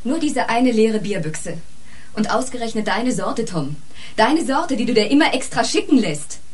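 An actress playing an insistent, reproachful sister in a German radio crime play, speaking in a plain-spoken way. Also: female voice, a little high, expressive, moderate pace, close to an everyday voice. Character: Dodo, insistent, reproachful younger-sounding sister.

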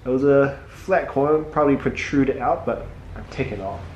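A man's voice: mid-range voice, brisk, darkish tone, dull audio. Young male podiatrist from Hong Kong, easygoing small talk with a patient, echoey treatment room. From Hong Kong, moved to New Zealand young. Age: young adult. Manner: easygoing, uses "like" often. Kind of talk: casual chat with a patient while working. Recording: roomy echo, clear background noise.

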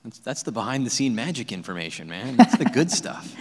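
Male, husky and very animated, playful joking asides on a panel, echoey hall. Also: mid-range voice, a little fast. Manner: playful, jokey. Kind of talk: casual joking interjections. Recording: echoey convention hall sound.

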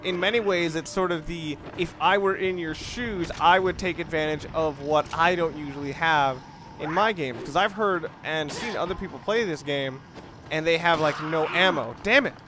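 A man with a slightly high voice talks through a video game in a casual let's play style. He explains tactics in a rambling, mildly profane way. There is faint noise with game ambience behind him. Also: normal speed. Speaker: gamer, let's play host. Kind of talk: casual gameplay commentary with strategy explanation. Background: video game sounds.

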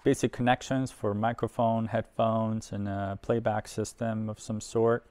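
A middle-aged man giving casual, unhurried unboxing commentary, thinking aloud as he handles things in a workshop. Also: lowish voice, flat delivery, a little mellow, smooth, no echo. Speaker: host of an audio gear unboxing video. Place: indoor workshop at a workbench.

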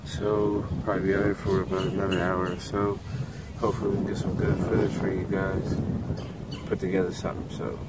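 A young man vlogs from a small boat on a lake, his voice on the lower side and relaxed. He talks straight to his viewers as if they were riding along. Loud wind and lapping water surround the camera mic. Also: a little fast, recorded from a distance. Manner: laid-back, talks to viewers. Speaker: fishing vlogger. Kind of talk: casual vlog narration. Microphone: action camera held at arm's length. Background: wind and water noise.

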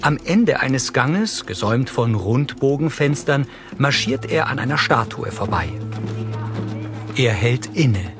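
A man's voice: mid-range voice, animated, unhurried. A man reading scripted audio description, narrating scenes in the present tense. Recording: clear music behind the voice. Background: soundtrack music.

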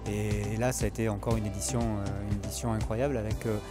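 A man, a class-winning skipper, interviewed outdoors on a harbour quay, talking fast with many fillers. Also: lowish voice, level tone, over loud music. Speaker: race class winner.

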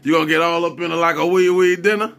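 A man off camera teasing and egging on a friend with quick jokes. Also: fairly high pitch, fairly even intonation, gravelly, a bit bright, slight background noise. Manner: teasing, egging on with short interjections. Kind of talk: joking banter from behind the camera.

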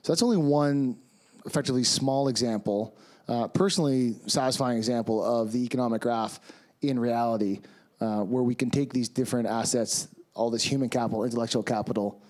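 A man in his thirties from Canada, with a Canadian accent, giving a relaxed, conversational conference presentation on stage with a handheld microphone and plenty of fillers. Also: medium pitch, fairly quick. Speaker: conference speaker. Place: large conference hall with audience.

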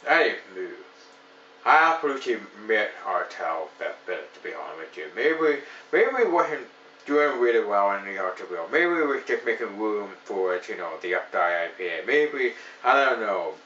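A man's voice: fairly low pitch, sing-song, moderate pace, bright, forceful tone, roomy sound, distant mic, dull audio, a little hiss or hum. A man in his thirties from Ontario, reviewing a beer at home in a casual, rambling way, full of "you know" fillers. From Ontario, Canada. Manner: rambling, enthusiastic, many fillers. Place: small home room with shelves of beer.

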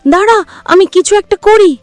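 A woman voicing a friendly, quick-witted young monkey in a children's cartoon story, with a squeaky, childlike voice. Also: no room sound. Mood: alarmed, urgent.

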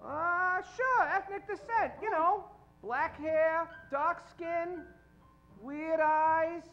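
A woman's voice: very high voice, very slow, a bit bright, dull audio, faint music behind, exaggerated voice. A woman playing a dubious, evasive male street informant in old-time radio comedy, hesitant and agreeable. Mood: shifty, agreeable. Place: indoor theatre stage. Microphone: stand microphone.

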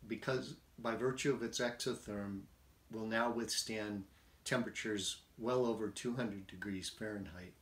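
A man in his fifties with a fairly low voice presents technical instruction in a deliberate, measured way. He is recorded in an echoey indoor workshop, a few steps from the camera. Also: fairly bright tone. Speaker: presenter of a product tutorial. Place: small indoor workshop with bare walls. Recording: roomy, echoing workshop sound.

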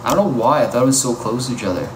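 Male livestreamer, mid-pitched and chatty, fielding viewer comments over loud ambient noise. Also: expressive, racing, reverberant. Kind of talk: casual live-stream chat.

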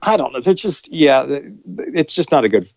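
Man, podcast co-host, halting speech, dry close sound, slightly dull lo-fi audio. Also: fairly high pitch, animated, vocal fry, darkish tone, no echo. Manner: halting, many restarts. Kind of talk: casual chat, film review.

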